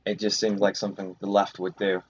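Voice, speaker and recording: A man's voice: lowish voice, little pitch movement, brisk, dull audio, a little hiss or hum. A man giving casual, sardonic and grumbling commentary while playing a video game. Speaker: gaming video host. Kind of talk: casual let's play commentary.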